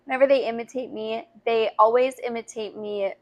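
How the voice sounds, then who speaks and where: A young woman who works as a youth minister, talking earnestly on a podcast in a high voice. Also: steady tone, a little fast, muffled recording. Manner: earnest, frequent "to be honest" and "like". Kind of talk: casual chat.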